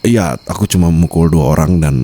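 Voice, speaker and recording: A man's voice: low voice, moderate pace, warm tone. A man with an Indonesian accent playing a doting, possessive mafia boss husband in an intimate, teasing ASMR roleplay. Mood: casual, evasive. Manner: teasing, coaxing, murmured endearments with English phrases mixed in.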